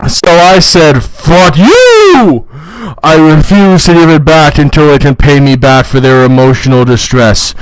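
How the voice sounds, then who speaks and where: A man's voice: on the high side, very animated, unhurried, smooth, rough recording. A man voicing an entitled, self-pitying bride-to-be in a theatrical, indignant, profane dramatic reading for comedy. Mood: furious.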